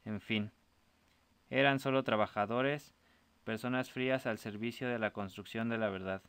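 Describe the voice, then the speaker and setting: A man's voice: mid-range voice, steady tone, normal speed, fairly bright tone, smooth, dry recording. A man narrating a scripted short story as a storyteller.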